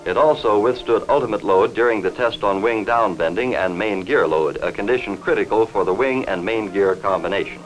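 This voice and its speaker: Male American narrator, lowish voice, formal 1950s newsreel delivery, measured and factual, music underneath. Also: poor audio. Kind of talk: 1950s scripted industrial film narration. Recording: vintage 1950s film soundtrack.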